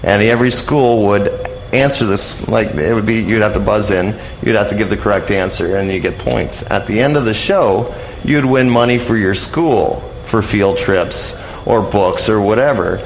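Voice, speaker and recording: An older man with a low, gravelly voice talks casually as an interview guest. He rambles and hesitates, pausing often as he explains. The audio is dull and lo-fi. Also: a little hiss or hum. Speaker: radio show presenter, interview guest.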